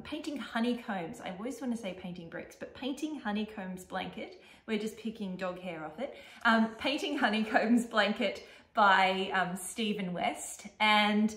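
A middle-aged Australian woman with a fairly low voice chats casually in an echoey living room. She goes along haltingly, thinking aloud as a podcast co-host. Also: a bit bright. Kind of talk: casual chat showing knitting projects. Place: living room, seated on a couch.

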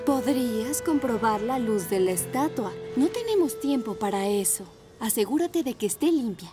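A woman voicing a busy, practical grown lady scooter in a children's cartoon dub, brisk and matter-of-fact. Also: on the high side, expressive, close to an everyday voice. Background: children's music.

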